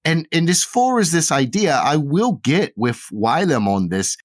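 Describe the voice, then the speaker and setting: A man's voice: on the high side, very animated, measured pace, no room sound, clean studio sound. Man playing a gushing, endearing theater actress. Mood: warm, grateful. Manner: gushing, calls people love. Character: warm, flirty, motherly theater actress Sheeny.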